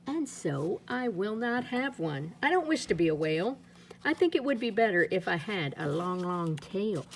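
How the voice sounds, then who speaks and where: A woman reading a rhyming children's picture book aloud in a playful, lilting way, her pitch swinging up and down. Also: a little hiss or hum. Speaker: storybook reader.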